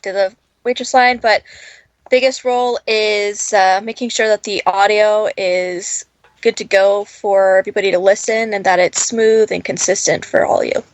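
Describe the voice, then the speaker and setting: A woman's voice: mid-range voice, steady tone, moderate pace, no echo. A woman chatting casually as an interview guest, friendly and upbeat. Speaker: audio producer guest. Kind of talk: casual chat.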